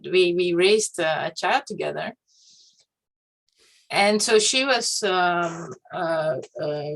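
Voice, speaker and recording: Woman with a gravelly voice. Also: lowish voice, fairly bright tone, poor audio. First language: Dutch. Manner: reflective, hesitant storytelling. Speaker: guest education researcher. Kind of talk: interview conversation.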